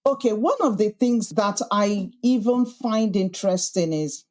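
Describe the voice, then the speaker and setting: A woman's voice: mid-range voice, animated, a little slow, darkish tone. Earnest woman with a Yorkshire accent. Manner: earnest, thoughtful, with frequent restarts and emphatic lists. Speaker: podcast host being interviewed. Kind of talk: reflective conversational interview.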